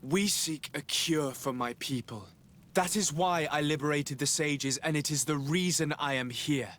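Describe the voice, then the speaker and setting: A man's voice: on the high side, measured pace, fairly bright tone. A man playing an earnest, courteous and resolute young nobleman envoy in a video game. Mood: firm, resolute.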